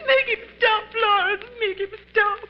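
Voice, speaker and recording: An actress playing a terrified, hysterical older wife with a shrill, sobbing, pleading delivery in 1950s radio mystery style. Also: female voice, steady tone, unhurried. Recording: muffled old 1950s radio recording.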